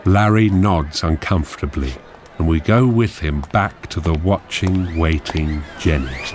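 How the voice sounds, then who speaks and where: An actor as a measured, deliberate narrator reading screen directions in a radio drama. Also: male voice, deep voice, darkish tone, slight background noise. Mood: measured, suspenseful. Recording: music behind the narration.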